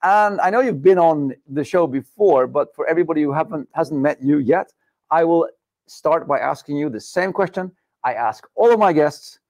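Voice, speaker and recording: A middle-aged man with a somewhat high voice hosts a live studio interview show. He talks in an upbeat, chatty way with joking asides. His voice sounds dry and close, with no room echo. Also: animated, normal speed, darkish tone. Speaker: podcast host. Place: studio set with dark backdrop.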